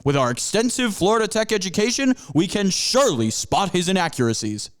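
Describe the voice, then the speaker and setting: A man's voice: sits high, sing-song, brisk. A man voicing a pompous panther professor who lectures his students in grandiose, stretched-out phrases. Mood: lecturing. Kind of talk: amateur audio drama.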